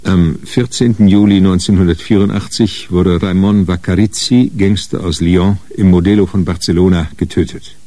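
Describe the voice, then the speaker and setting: A man narrating a radio crime drama in a sober, matter-of-fact, measured way. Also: sits low, steady tone, mellow, no room sound, natural voice. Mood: sober, grim. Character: sober narrator of a hard-boiled crime story.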